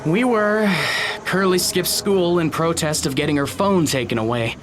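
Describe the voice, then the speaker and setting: An actor playing an awkward, polite teenage high school boy in an audio drama, speaking hesitantly with small stammers. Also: moderate pace, noisy background, lightly acted. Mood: explanatory, apologetic. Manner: hesitant, stammering, nervous chuckles.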